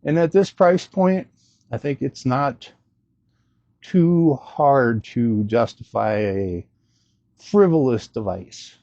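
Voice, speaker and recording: A man's voice: mid-range voice, expressive, slow, dark tone, no room sound. A man casually talking through an unscripted gadget review as host, in a rambling, hesitant, self-deprecating way, on a slightly dull recording.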